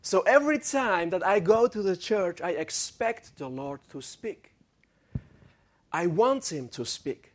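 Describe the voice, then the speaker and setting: A young man with an Armenian accent, preaching a sermon in halting English, with many fillers and restarts and some joking with the congregation. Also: sits high, lively intonation. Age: young adult. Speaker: guest preacher.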